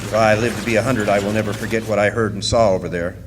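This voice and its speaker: A man's voice: lowish voice, fairly even intonation, fast talker, close to an everyday voice. An actor playing a wry rail-riding drifter who looks back on his past as narrator of a radio play. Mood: wry, reflective. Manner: storytelling, dryly wry. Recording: live hall reverb, faint background noise.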